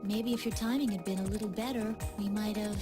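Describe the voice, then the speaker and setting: A woman playing a soft-hearted, anxious young woman in a video game, earnest and girlish in manner. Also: medium pitch, steady tone, a little fast, music in the background, natural voice. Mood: confused, vulnerable.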